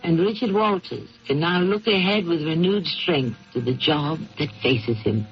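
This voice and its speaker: A man's voice: a little high, a little slow, soft background music. A man narrating and announcing for a radio drama in a formal, scripted, declarative style. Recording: dull old radio broadcast.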